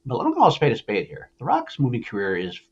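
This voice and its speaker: A man hosts a casual pop culture podcast with a lively, widely swinging delivery. He talks fast and emphatically, swearing freely and repeating himself. The audio is slightly dull and lo-fi. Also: mid-range voice, breathy edge. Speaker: podcast host. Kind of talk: casual, profane movie news chat.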